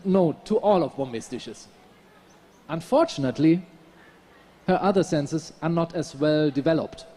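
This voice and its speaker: A novelist with a German accent reads a passage from his book on a festival stage, then chats in a halting, self-deprecating way with many restarts. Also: on the high side, warm tone. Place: festival stage, audience present.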